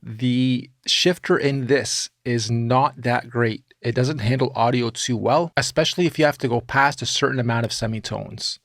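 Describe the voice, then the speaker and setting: A man narrating a software tutorial in an informal, opinionated way, like a music production teacher talking through his steps. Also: mid-range voice, moderate pace, hardly any pauses, dry recording, clean studio sound.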